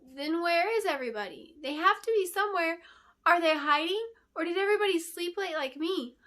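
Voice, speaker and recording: A woman voicing an eager, curious little girl apprentice in a fantasy storybook, excitable and full of questions. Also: extremely high pitch, a little fast, voice pushed up, exaggerated voice. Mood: excited.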